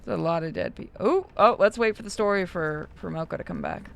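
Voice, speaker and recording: A woman's voice: on the low side, wildly swooping pitch, fast, gravelly. A woman hosting a game stream, chatting casually with friends in an informal, sweary, exclamatory way. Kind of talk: casual gaming commentary with friends.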